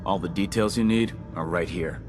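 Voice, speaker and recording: An actor playing a gruff, hostile military officer in a video game, curt and condescending as he hands out orders. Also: sits low, moderate pace, close to an everyday voice. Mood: stern, suspicious. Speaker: military officer handing out assignments. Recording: loud music behind the voice.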